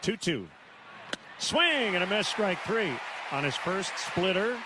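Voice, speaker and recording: Slow, clipped American baseball announcer, noisy ballpark. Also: male voice, high-pitched, sing-song. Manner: clipped short phrases with pauses. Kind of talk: live radio play-by-play commentary. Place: stadium broadcast booth. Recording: noisy live ballpark broadcast.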